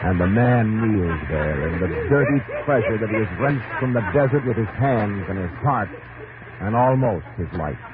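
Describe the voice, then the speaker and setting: A man's voice: lowish voice, lively intonation, moderate pace, a loud crowd, poor audio. A man giving dramatic scripted 1950s radio narration in emphatic, clipped, theatrical phrases on an old broadcast. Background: loud dramatic music.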